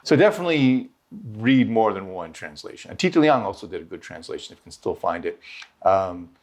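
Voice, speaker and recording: A man teaching swordsmanship, answering questions in a rambling, self-interrupting and lively way. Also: sits low, brisk. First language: English. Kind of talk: conversational Q&A answers.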